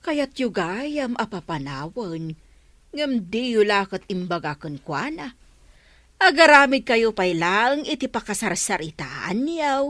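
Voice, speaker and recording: Gossipy village woman, Ilocano accent, complaining, medium pitch, radio drama. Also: sing-song, unhurried, fairly bright tone, dry recording. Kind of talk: comedy radio drama acting.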